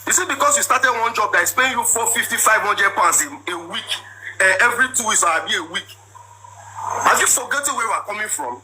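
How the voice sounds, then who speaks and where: A man in his thirties with a Nigerian accent, telling his side of a marriage dispute in a loud, forceful, repetitive way. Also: sits high, expressive, a little fast. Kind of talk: emotional personal account. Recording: noisy background.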